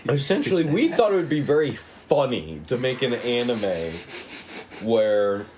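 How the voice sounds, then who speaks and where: A man getting over a cold, co-hosting and explaining in a casual, rambling, halting way. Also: mid-range voice, sing-song, a little mellow, a little hiss or hum. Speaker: co-writer and co-host introducing a script table read.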